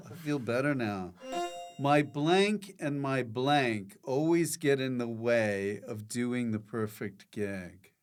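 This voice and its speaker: A man's voice: medium pitch, animated, takes it slowly, music in the background. A man hosting a podcast, chatting casually with playful, dry remarks. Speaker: podcast host. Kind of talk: casual comic interview.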